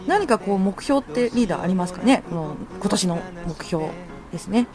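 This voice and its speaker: Female radio show navigator, mid-pitched, lively, polite chatty hosting. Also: brisk. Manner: polite, conversational. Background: music.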